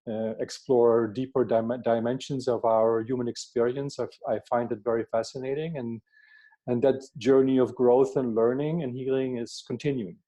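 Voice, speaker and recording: Older male interviewee, Dutch accent. Mid-pitched, soft dark tone, reflective and halting, repeats words while explaining. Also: highs cut off. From Netherlands. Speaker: workshop facilitator, therapist being interviewed.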